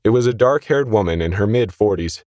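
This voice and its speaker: A man's voice: lowish voice, animated, fairly quick, a little mellow, no room sound, studio quality. A man narrating a scripted short story as its reader.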